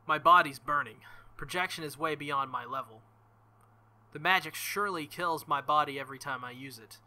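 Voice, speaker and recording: A man's voice: on the high side, moderate pace, sharp tone. A young man reading a visual novel aloud as a let's play host, with joking, crude asides between passages.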